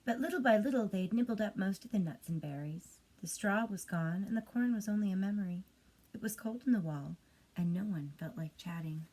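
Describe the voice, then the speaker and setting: Female storyteller for a children's bedtime tale, expressive, medium pitch, slightly breathy and husky. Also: a little fast, rough recording.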